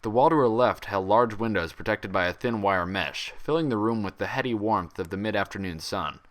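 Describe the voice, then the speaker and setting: A man's voice: fairly low pitch, brisk, a bit bright. A man narrating fan fiction as a scripted, read-aloud story.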